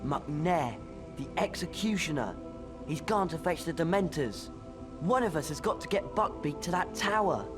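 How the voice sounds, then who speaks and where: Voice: mid-range voice, lively intonation, normal speed, close to an everyday voice. A young voice actor playing an earnest, brave teenage schoolboy wizard with urgent delivery. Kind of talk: video game voice acting. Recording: loud music underneath.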